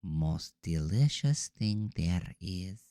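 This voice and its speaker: A man voicing an eerie young girl hiding something ancient, flat, metallic and taunting, in an audio drama. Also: low voice, slow, clean tone, dry recording, studio quality.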